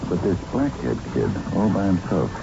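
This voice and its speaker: An actor in a radio drama playing an elderly, gentle, wistful man of the boxing world, speaking in a halting, hesitant way. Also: low voice, very animated, gravelly, heavily acted. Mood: wistful, uneasy. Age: older. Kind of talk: 1970s radio drama acting and narration. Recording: muffled, noisy old radio broadcast recording.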